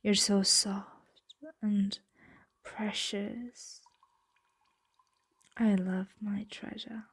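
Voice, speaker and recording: A woman playing a possessive, tender dragon in an ASMR roleplay, speaking softly and intimately, often in a breathy whisper, close to the microphone. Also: mid-range voice, little pitch movement, dry recording, exaggerated voice. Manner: slow, intimate, coaxing. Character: possessive, tender dragon hoarding a human pet.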